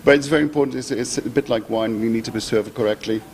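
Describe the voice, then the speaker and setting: A man's voice: medium pitch, fast. A man from Belgium with a Belgian accent, enthusiastically explaining beer and food pairing in a live TV interview at an outdoor festival. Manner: upbeat, enthusiastic promoter. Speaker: beer sommelier guest. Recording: faint background noise at an event.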